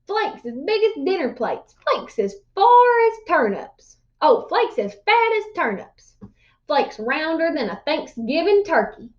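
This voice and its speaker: A woman's voice: sits high, very animated, measured pace, lo-fi sound, voice pushed up. A woman voicing a folksy, boastful old man spinning tall tales in a children's storybook read-aloud. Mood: wondrous, animated.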